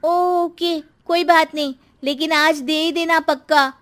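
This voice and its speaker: A woman acts out a sharp-tongued college-age daughter in a cartoon story. Her voice is pushed very high. She is spoiled and demanding, complaining and mocking as she talks. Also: steady tone, slow pace.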